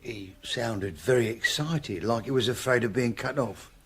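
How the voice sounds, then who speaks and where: A British actor playing a nervous small-time crook and garage owner in a radio drama, hesitant and plain-spoken. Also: male voice, medium pitch, a little slow, breathy edge. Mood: uneasy.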